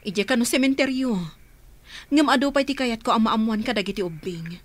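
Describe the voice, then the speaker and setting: A woman's voice: on the high side, normal speed, slight background noise, close to an everyday voice. A woman acting in a radio drama as Flora, a caring woman who keeps asking questions of the orphaned children. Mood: earnest. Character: caring woman named Flora, recently returned, concerned for orphans.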